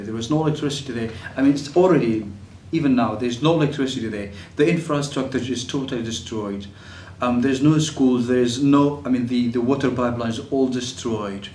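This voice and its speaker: A man's voice: medium pitch, darkish tone, slight background noise. A young man from Syria, giving a personal testimony with many fillers and restarts. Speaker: refugee telling his story. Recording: echoey room, mic at a distance.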